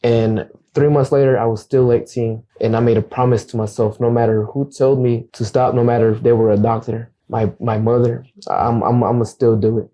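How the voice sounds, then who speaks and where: A man's voice: mid-range voice, level tone, a little fast, hardly any pauses, mellow. A young man whose first language is Acateco, speaking earnestly as a guest realtor in a casual interview and often repeating words as he starts a thought. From United States.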